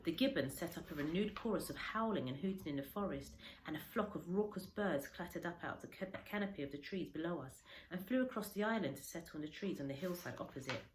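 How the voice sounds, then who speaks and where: A woman reading a children's story aloud like a teacher, dramatically, with pauses and stretched words for emphasis. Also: on the low side, animated. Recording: echoey room, faint background noise.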